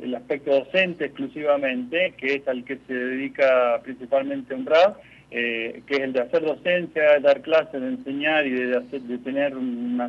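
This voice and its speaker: A man's voice: medium pitch, dull audio. A man with an Argentine accent, a community spiritual leader answering questions in a radio interview, hesitant, with many fillers and restarts. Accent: Argentine Spanish.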